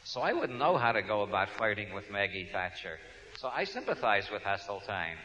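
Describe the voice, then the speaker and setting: New York man, sharp-toned, wry humorous speaker on a dull lo-fi tape, midrange pitch. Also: big pitch swings, a little fast. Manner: wry, digressive, frequent restarts. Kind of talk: humorous talk to an audience. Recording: dull lo-fi recording.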